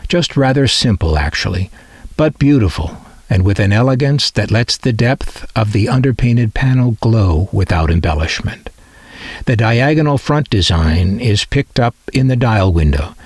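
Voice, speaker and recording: Husky American man, lively low-pitched narration. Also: unhurried, dry recording. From American Midwest. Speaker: vintage radio collector and presenter. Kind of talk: scripted narration.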